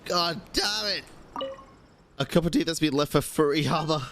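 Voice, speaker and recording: A man's voice: on the high side, moderate pace, fairly bright tone, a little hiss or hum. A young man who streams games, reacting casually and live with exclamations and swearing. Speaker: game streamer. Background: video game music.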